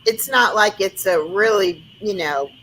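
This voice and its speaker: A woman in her sixties hosting a casual online chat, chatty and quick to laugh, often trailing off mid-sentence. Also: medium pitch, fairly bright tone, a little hiss or hum, rough recording. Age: 60s. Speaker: host of an online chat room.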